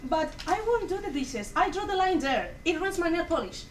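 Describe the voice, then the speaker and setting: Mischievous elderly Cuban mother, woman performer, very high pitch, stage reading, distant roomy sound. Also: very animated, moderate pace. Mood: playful. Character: playful, sociable old Cuban mother, mischievous. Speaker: student actor in a dramatic reading. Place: echoey room at a live performance. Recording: roomy, distant mic, faint noise.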